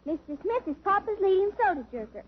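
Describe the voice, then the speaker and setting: A woman's voice: very high voice, very animated, quick pace. An actress playing a cheeky little girl who puts on sweet innocence in a 1950s radio comedy. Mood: cheeky, innocent. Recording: muffled, noisy old radio broadcast.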